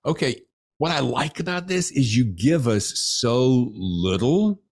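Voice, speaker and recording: A man's voice: medium pitch, big pitch swings, takes it slowly. A man hosting a podcast interview in an effusive, showy, emphatic conversational style. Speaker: podcast host.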